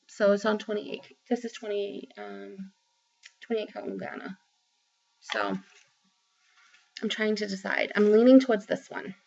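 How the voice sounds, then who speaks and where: Chatty Boston woman, gravelly voice. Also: medium pitch, flat delivery, measured pace, pauses often, a little mellow. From Boston area, Massachusetts. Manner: chatty, rambling, many ums and restarts. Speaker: craft vlogger. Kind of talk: casual chat to camera. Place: small room at home, indoors. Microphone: webcam close up. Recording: dull lo-fi webcam sound.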